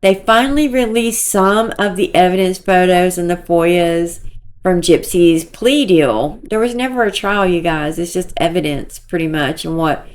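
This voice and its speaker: A woman with a squeaky voice giving casual, rambling commentary to her viewers, repeating herself and filling pauses with ums. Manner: rambling, repeats herself, soft filler-laden talk. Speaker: commentary creator.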